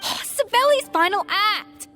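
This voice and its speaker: A young video game heroine with a clearly high female voice. She is poised and earnest, shaping her lines with dramatic, articulate care over soft music. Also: animated, unhurried, heavily acted. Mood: solemn realization. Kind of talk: video game dialogue acting.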